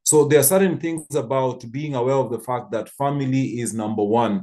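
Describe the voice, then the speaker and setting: A man's voice: medium pitch, fairly quick, warm tone. A man in his fifties from Kenya, telling stories thoughtfully with frequent restarts on a church panel. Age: 50s.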